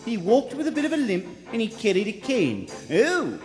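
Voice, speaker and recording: Eager, respectful courtroom witness played by an actor, lively radio play delivery, reverberant hall. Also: mid-range voice, moderate pace. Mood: earnest testimony. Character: earnest, dramatic courtroom eyewitness, deferential. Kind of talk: live radio play acting. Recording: live theater hall, faint music.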